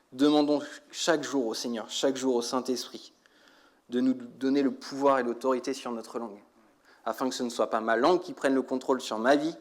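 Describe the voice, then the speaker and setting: A man delivers a sermon as a church preacher in a medium-pitched voice. He speaks directly to his congregation, exhorting them and putting questions to them. He often repeats a phrase to drive it home.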